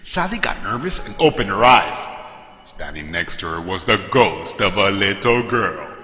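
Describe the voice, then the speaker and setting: A man's voice: fairly low pitch, very animated, normal speed, bright, forceful tone. A man narrating a scary story in a dramatic, scripted style. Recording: echoey long reverb. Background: music.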